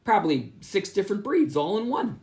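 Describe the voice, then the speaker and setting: A man's voice: sits high, very animated, a little fast, a little mellow, voice pushed up. A man voicing an eager, kind young boy who trades things, in a raised voice.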